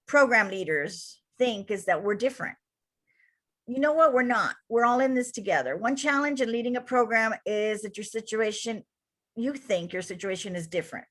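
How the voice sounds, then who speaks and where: A woman with a Cuban accent, a childcare center director giving an energetic webinar presentation to attendees over a video call. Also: mid-range voice. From Cuba.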